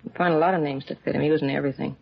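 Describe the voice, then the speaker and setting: Gravelly, bitter ex-wife. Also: female voice, deep voice, fairly even intonation, rapid-fire, exaggerated voice. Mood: bitter, jaded. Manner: curt, jaded, dismissive. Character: bitter, hard-bitten ex-wife of a small-time crook. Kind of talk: 1950s radio crime drama acting. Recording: dull old radio recording.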